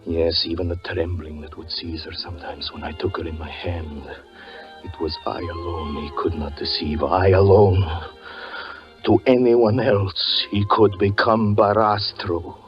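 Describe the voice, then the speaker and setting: Melodramatic, tormented 1940s radio magician. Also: male voice, sits low, wildly swooping pitch, unhurried, faint music behind, exaggerated voice. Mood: brooding. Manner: melodramatic, swinging between hushed narration and anguished cries. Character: tormented stage magician husband, haunted and fervent, recounting his story. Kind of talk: 1940s radio suspense drama acting. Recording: muffled vintage 1940s radio recording.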